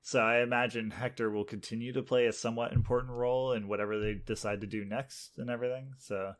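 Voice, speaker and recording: A man with a medium-pitched voice talks as a podcast co-host. He speaks in a casual, conversational style, as if discussing things among friends. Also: steady tone, quick pace.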